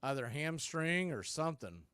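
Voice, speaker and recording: Higher-pitched man calling baseball play-by-play, dry sound. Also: big pitch swings, moderate pace, fairly bright tone, clean tone, no echo. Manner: homer fan, informal and colloquial. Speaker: baseball play-by-play announcer for a fan watch party. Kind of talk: live sports play-by-play commentary.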